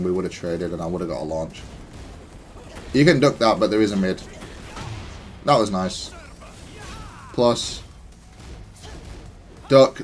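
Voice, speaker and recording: A man's voice: fairly low pitch, darkish tone. A man giving matter-of-fact, analytical commentary on gameplay, thinking aloud in a stop-start way. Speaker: gamer explaining own match replay.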